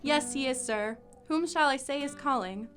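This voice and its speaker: A woman's voice: sits high, normal speed, close to an everyday voice. A woman playing a polite, welcoming young woman of a Victorian household in an audio drama. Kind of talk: theatrical audio drama acting. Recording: music playing behind the voice.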